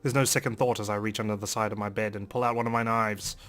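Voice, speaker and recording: A man narrates a visual novel for a playthrough in a low voice. The reading is dramatic, first-person storytelling. Faint music runs behind him. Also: level tone, fast, fairly bright tone. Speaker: let's play host reading a visual novel aloud. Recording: music under the voice.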